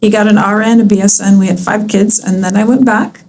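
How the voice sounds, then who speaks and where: A chatty woman in her fifties from California, a guest on a podcast. She talks in an easy, rambling way, filling pauses with ums and likes, and laughs readily. Her voice sits at an ordinary middle pitch for a woman. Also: fairly even intonation, a little mellow. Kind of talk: casual interview chat.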